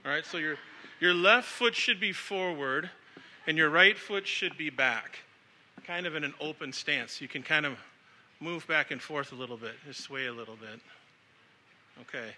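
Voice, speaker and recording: Male speaker, 40s, from Samoa, raised in the US; sharp, tense tone, upbeat and crowd-leading. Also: a little high, moderate pace, slight background noise. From born in Samoa, raised in the United States. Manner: upbeat, casual, leads the crowd. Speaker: speaker at an education event. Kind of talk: live talk to an audience.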